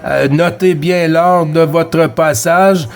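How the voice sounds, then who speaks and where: A man with a Quebec French accent and a fairly high voice works as a CB radio operator. He chats casually and amiably, often repeating his phrases and tagging them with little check-in words. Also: steady tone, slow. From Quebec, Canada. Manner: friendly, repeats himself, tags phrases with "hein". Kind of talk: casual two-way radio chat.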